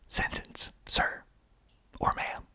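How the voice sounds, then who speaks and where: A man reading aloud in a whispered ASMR style, deliberate and breathy, with wry, deadpan asides. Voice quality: breathy, mostly unvoiced whisper. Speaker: filmmaker and actor hosting an ASMR video.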